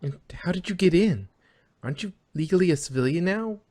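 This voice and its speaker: A performer as a weary young pony princess, skeptical, dry, exasperated, husky, swinging intonation. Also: medium pitch, expressive, a little fast, darkish tone, close to an everyday voice. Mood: startled, exasperated. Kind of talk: character voice in a fanfiction reading.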